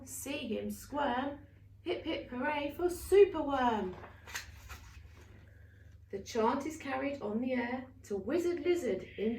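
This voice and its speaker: A woman reading a children's bedtime story aloud in an expressive, theatrical way with emphatic exclamations. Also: a little high, gravelly. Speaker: story reader for a family centre. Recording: roomy, distant mic, faint noise.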